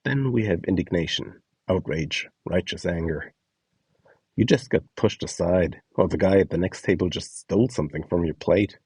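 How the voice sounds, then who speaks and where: A man's voice: low voice, moderate pace, a little mellow, husky, dry recording, poor audio. A man reading scripted instructions and short scene prompts as the narrator of a guide.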